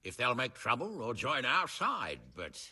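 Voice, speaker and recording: A gruff bossy medieval noble for a game, raspy and sharp, blunt. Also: male voice, mid-range voice, huge pitch swings, measured pace, exaggerated voice. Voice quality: gravelly, rough. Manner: blunt, emphatic. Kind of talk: video game cutscene acting.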